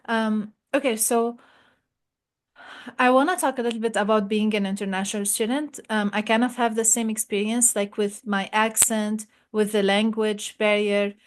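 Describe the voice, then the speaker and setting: A woman's voice: mid-range voice, level tone, moderate pace. A woman interviewing a fellow graduate student, chatty and full of "like" fillers. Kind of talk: casual interview.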